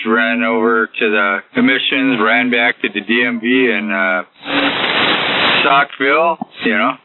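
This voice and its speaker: A man chatting casually as host of a CB radio net, using folksy, salty radio slang. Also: lowish voice. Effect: CB radio transmission. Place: over CB radio. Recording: tinny CB radio, loud static and clicks.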